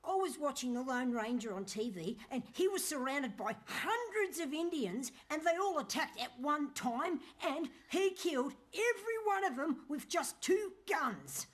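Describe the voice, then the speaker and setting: A high-pitched, cheeky little schoolboy from a cartoon comedy, acted by a woman. The delivery is very lively and swings up and down, with an innocent storyteller's bluntness. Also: a little slow, few pauses. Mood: cheeky storytelling.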